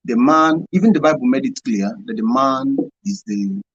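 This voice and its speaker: A man's voice: mid-range voice, expressive, moderate pace, breathy edge, no echo, dull audio. A man with a Nigerian accent giving earnest, emphatic explanations as a guest in a radio studio interview. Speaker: radio show guest, NGO volunteer.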